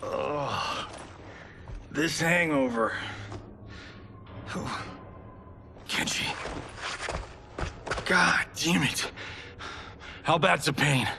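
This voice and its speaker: A man's voice: a little high, extremely slow, vocal fry, long pauses, music in the background, exaggerated voice. A man playing a cocky, sarcastic movie-star fighter in a video game cutscene. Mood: pained. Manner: sarcastic, casual, mouthy. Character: cocky, wisecracking male action film star turned fighter.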